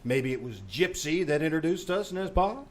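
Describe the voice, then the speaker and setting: Man playing a highish-voiced, polite detective's sidekick. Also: lively intonation, normal speed, lightly acted. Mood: ingratiating. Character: smooth-talking, businesslike male detective's sidekick. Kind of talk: old-time radio comedy acting on stage. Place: live small-town theater stage.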